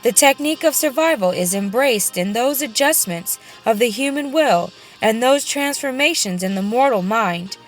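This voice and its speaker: A woman with a fairly high voice narrates a spiritual audiobook. She phrases each line solemnly and deliberately, setting words apart with pauses. Faint music plays underneath her reading. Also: lively intonation. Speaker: audiobook reader. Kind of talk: scripted reading of a religious book.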